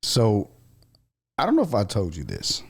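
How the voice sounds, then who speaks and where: A man's voice: fairly low pitch, huge pitch swings, moderate pace, creaky, slightly rough. An American man from Southern California hosting a podcast, telling personal stories in casual, slangy conversation. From Southern California, USA. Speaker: podcast host. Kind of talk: casual storytelling chat.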